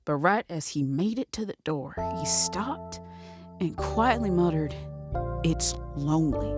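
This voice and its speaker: An actress playing a weary, lonely woman prisoner, reflective and brooding, in an audio drama. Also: low voice. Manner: slow, reflective, weary delivery. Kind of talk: dramatic monologue and dialogue in fiction podcast.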